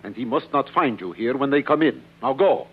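An actor playing a haughty, scheming older uncle, imperious and scornful, in a 1950s radio drama. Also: male voice, mid-range voice, expressive, a little fast, husky. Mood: dismissive, urgent. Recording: dull 1950s radio recording.

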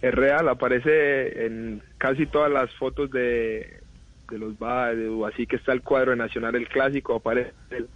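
A young man, giving thoughtful radio interview answers with many pauses. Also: medium pitch, fairly even intonation, narrow, tinny audio. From Colombia. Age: 20s. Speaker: footballer guest.

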